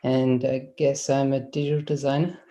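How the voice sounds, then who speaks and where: A young man with a New Zealand accent speaks casually over a video call, pausing and restarting as he goes. His voice has a gravelly edge. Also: medium pitch, flat delivery, mellow. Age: 20s. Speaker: guest.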